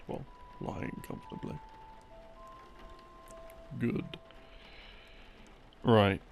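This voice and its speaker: Slow, low, creaky-voiced affectionate boyfriend played by a man. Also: full character voice. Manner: soft-spoken, hesitant, teasing, with small chuckles and long pauses. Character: affectionate, laid-back boyfriend gamer soothing his partner to sleep. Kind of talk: intimate ASMR boyfriend roleplay, unhurried rambling explanation. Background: background game music.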